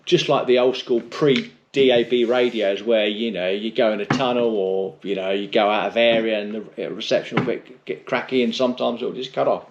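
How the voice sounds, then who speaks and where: A man's voice: lowish voice, steady tone, normal speed, few pauses, lo-fi sound, background noise. A middle-aged British man giving a casual, unscripted product review in a blunt, chatty, rambling way with mild swearing. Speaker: motorcycle vlogger reviewing gear.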